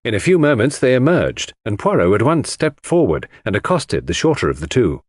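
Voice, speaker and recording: A man's voice: medium pitch, big pitch swings, brisk, dry recording, studio quality. A man reading scripted narration of a classic detective story as an audiobook narrator.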